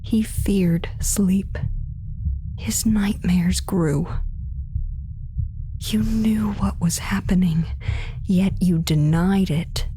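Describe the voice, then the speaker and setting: A haunted mother in a horror audio drama. She speaks slowly and deliberately, hushed and accusing, over a faint bed of ambient noise. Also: fairly low pitch, fairly even intonation. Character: grave, accusing, haunted mother of a troubled boy. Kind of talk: psychological-horror audio drama narration and dialogue.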